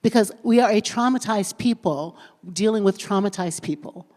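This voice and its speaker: A woman's voice: medium pitch, normal speed, darkish tone. A woman pastor speaking on a panel, earnest, repeating phrases as she thinks aloud. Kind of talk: panel discussion. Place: lecture hall at a conference. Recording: large hall with long reverb.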